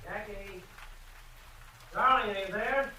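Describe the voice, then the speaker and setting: A man's voice: high-pitched, slow, background noise. A man playing a devoted, earnest and wry husband with emphatic delivery in 1940s-style radio thriller acting. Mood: anxious pleading. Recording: dull old radio recording.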